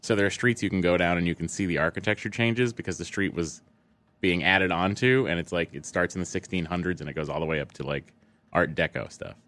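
A man from Boston chatting as a guest, relaxed and explaining things. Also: low voice, brisk, no room sound. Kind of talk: casual chat.

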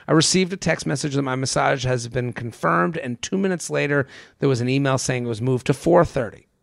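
A man's voice: mid-range voice, a little fast, vocal fry, no echo, clean studio sound. A man hosting a podcast in a casual, comedic advice-giving style, riffing and repeating phrases. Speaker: podcast host. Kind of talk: casual comedic advice chat, sometimes reading listener emails.

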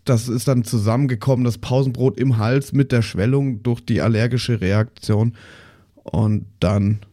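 A man's voice: medium pitch, fairly even intonation, a little slow, dark tone, dry recording, clean studio sound. A man on a podcast improvising a story in a conversational way. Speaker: podcast cast member.

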